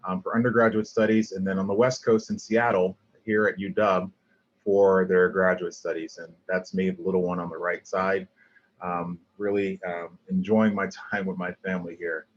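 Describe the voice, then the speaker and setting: A man's voice: low voice, flat delivery, moderate pace, highs cut off. A middle-aged man from Liberia, giving an earnest design lecture over slides with many ums and restarts. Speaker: guest lecturer, landscape architect.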